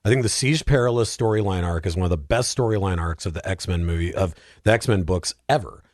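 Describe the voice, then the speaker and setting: Podcast guest, male, lower register, dry close sound, animated and opinionated casual talker. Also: brisk, dry recording. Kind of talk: casual film discussion.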